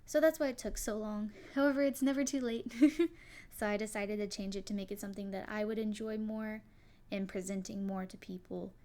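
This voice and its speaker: A young woman with a soft, quiet voice, casually talking to her viewers about her character design, rambling a little with fillers and restarts. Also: medium pitch, steady tone, a little fast. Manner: rambling, many likes and restarts. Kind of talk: casual solo talk to viewers.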